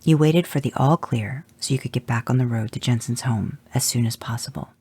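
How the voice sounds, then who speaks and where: Gravelly, husky storybook narrator. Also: medium pitch, fairly quick, dry recording. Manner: steady second-person storytelling. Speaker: podcast host reading fanfic. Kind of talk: audio fanfic narration.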